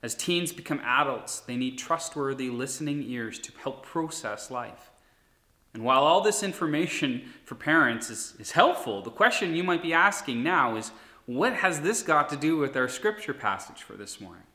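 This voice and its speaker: A pastor in his thirties delivers a sermon in an earnest, steady way. His voice is bright and forward, at a middle pitch for a man. The recording carries a noticeable room echo. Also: normal speed. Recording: echoey room.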